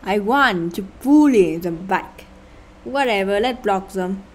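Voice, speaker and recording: A woman's voice: medium pitch, lively intonation. Vietnamese-accented woman gaming channel host. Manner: sighing, sarcastic asides, halting and unhurried. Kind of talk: casual gameplay commentary.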